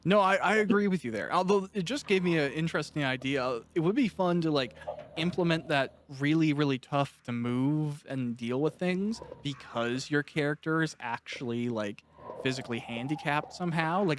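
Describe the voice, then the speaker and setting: A man's voice: mid-range voice, a bit bright, a little hiss or hum. A man hosting a casual, conversational interview as he chats with his guest. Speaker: interviewer, host of an audiobook cast interview.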